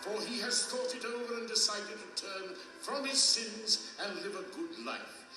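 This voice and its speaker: A man's voice: high voice, a little slow, pushed and bright, far from the mic, full character voice. An actor playing a majestic, stern king who passes judgment in solemn, declamatory, formal speech in an audio drama. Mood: stern, reasoning. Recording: echoey hall reverb, music behind.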